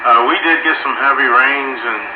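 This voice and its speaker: A man's voice: mid-range voice, moderate pace. Heavy noise and echo surround a man on a muffled radio link. He talks casually and colloquially, a folksy hobbyist on the air.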